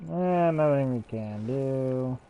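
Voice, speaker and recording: Man streamer, slow casual game chatter; tinny line. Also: medium pitch, lively intonation, slight background noise. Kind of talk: casual gameplay commentary. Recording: tinny phone-like audio.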